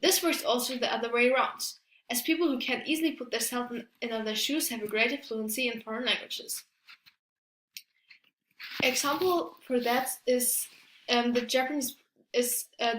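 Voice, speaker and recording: A woman giving a prepared academic presentation as a student, halting and stumbling over her words. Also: on the high side, fairly even intonation, a bit bright, a little hiss or hum. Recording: echoey room.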